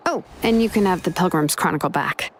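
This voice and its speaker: An actress voicing a calm, dry and reassuring expert craftswoman in a video game, speaking in a measured way. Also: on the low side, background noise, close to an everyday voice.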